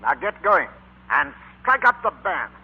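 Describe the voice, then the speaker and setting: A man playing a gruff but kindly middle-aged American father, brisk and commanding, in 1940s radio drama style. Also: high voice, very animated, heavily acted. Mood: gruffly kind. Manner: brisk, commanding, clipped questions. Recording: tinny, narrow 1940s radio recording.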